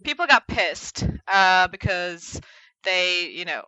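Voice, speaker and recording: A woman's voice: on the low side, lively intonation, takes it slowly, pushed and bright, clear, resonant tone, dry recording, lo-fi sound. A woman co-hosting a podcast, telling stories in casual chat and acting out other people's reactions. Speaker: podcast co-host.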